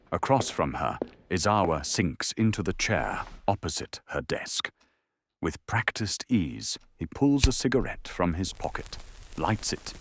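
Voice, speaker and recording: A man delivers dramatic scripted narration for an anime audio drama. His low voice is gravelly and moves expressively up and down, with faint music underneath.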